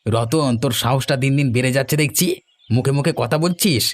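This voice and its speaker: A man's voice: mid-range voice, a little fast, lightly acted. A man voicing a bullying, boastful henchman of a village boss in a cartoon, with a sneering, menacing manner. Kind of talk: cartoon dialogue. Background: music.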